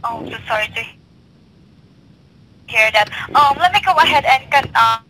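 A woman's voice: high voice, animated, normal speed, gravelly, pushed and bright, husky. A polite, hesitant woman working as a customer service agent on a noisy telephone line. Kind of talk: scripted customer service call.

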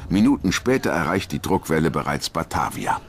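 A man's voice: rumbling low, big pitch swings, fairly quick, noisy background. A man reading scripted documentary narration as the narrator.

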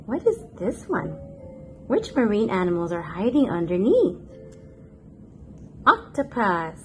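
High, lively woman reading to toddlers. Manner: playful, expressive, sing-song, with excited gasps and questions. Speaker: children's picture book narrator, host. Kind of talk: read-aloud for toddlers.

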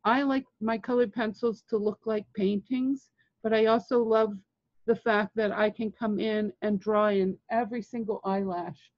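A woman in her sixties giving an informal art tutorial in halting, unhurried phrases with long pauses. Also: mid-range voice, steady tone, no echo. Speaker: colored pencil artist demonstrating techniques. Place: indoors, home studio room with framed art. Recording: dull, lo-fi webcam-style sound.

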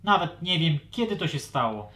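A man's voice: a little high, big pitch swings, normal speed, pushed and bright. A male performer playing a plaintive, defensive young dragon in a children's audio fairy tale. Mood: worried.

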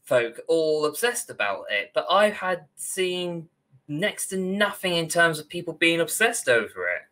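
A man's voice: fairly high pitch, sing-song, unhurried, sharp tone. A young man co-hosting a podcast, giving his opinions in casual discussion over a video call. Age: young adult. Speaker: podcast co-host. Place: small room at home, on a video call.

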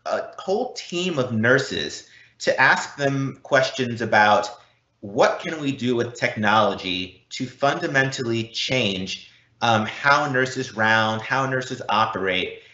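A man's voice: mid-range voice, lively intonation, unhurried, sharp tone. A man giving a webinar presentation as a technical speaker. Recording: roomy echo, slightly dull.

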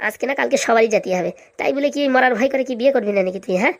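Woman doing a cheeky cartoon village bloke, high voice, talks crazy fast, blunt. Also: vocal fry, no room sound. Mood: blustery. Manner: blunt, colloquial, dismissive. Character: blustery, cheeky village elder-brother figure. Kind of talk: comic cartoon dialogue.

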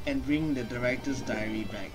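A young male gaming streamer with a medium-pitched voice. He reads on-screen text aloud and comments casually on his play, with clear game music behind him. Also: moderate pace, poor audio. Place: indoor room.

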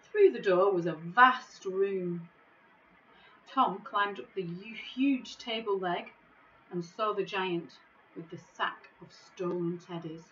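A middle-aged woman reading a children's storybook in a measured, expressive way, recorded on a device microphone in an office. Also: mid-range voice, highs cut off, slight background noise. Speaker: school staff member reading a bedtime story. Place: small office, indoors.

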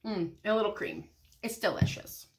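A woman's voice: medium pitch, fairly even intonation, moderate pace. A middle-aged American woman, slightly congested from a cold, chatting casually and ramblingly through a shopping haul in a small room at home. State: has a head cold. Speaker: vlogger.

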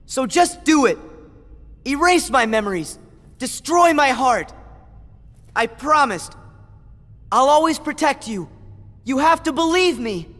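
A man's voice: extremely high pitch, animated, a little slow, long pauses, a bit bright, exaggerated voice. An actor playing a brave, stubborn, loyal teenage boy hero in a video game, earnest and impassioned. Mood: desperate, earnest. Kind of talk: video game cutscene acting. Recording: long hall reverb.

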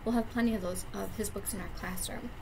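A woman's voice: mid-range voice, steady tone, fast. A young woman reading a picture book aloud as a preschool teacher, adding simple explanations for young children. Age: young adult. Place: indoors, classroom. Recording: clear background noise, webcam-style room recording.

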